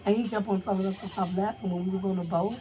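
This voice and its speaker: A hoarse woman co-hosting a podcast, chatting casually and joking with her sister in a laid-back, slangy way. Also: mid-range voice, steady tone, racing, highs cut off, slight background noise. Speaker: podcast co-host. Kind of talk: casual chat between sisters.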